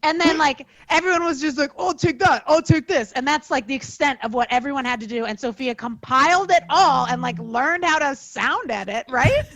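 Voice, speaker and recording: An effusive woman on a casual panel, with a high voice that swings widely in pitch. She is emphatic and slangy, and the sound is close and dry. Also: animated, moderate pace, hardly any pauses, a bit bright, dry recording. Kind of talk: casual chat on an online panel.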